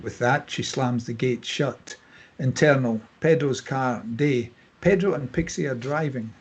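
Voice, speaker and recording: A man's voice: mid-range voice, measured pace, husky. A man narrating the stage directions at a screenplay table read, in a scripted narration style.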